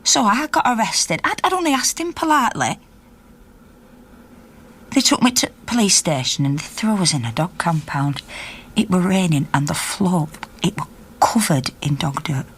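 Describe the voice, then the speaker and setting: Feisty low-voiced Yorkshire miner's wife. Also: female voice, moderate pace, a little hiss or hum. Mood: indignant. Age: middle-aged. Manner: animated, conversational storytelling, acting out dialogue. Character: feisty middle-aged miner's wife, indignant activist telling her story. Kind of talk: naturalistic radio drama monologue.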